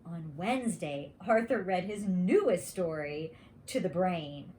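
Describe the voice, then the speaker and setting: Animated woman reading a children's story. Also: lowish voice, measured pace. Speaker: kindergarten teacher reading aloud.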